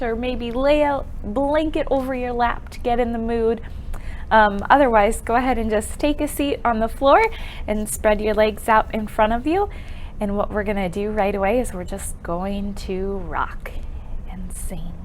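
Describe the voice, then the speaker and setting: A woman hosting an interactive children's storytime with sing-along rhymes, speaking expressively and encouragingly, asking questions and leaving pauses for answers. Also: a little high, slight background noise. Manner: expressive, exaggerated delivery for young children.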